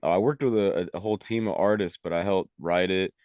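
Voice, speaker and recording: Casting: young guy rapper from Venezuela, extremely low voice, loose slangy rambling, dry close sound. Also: fairly even intonation, fairly quick, no room sound, highs cut off. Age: young adult. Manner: laid-back, rambling, heavy on "like" and slang. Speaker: rapper and recording engineer. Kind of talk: casual interview chat.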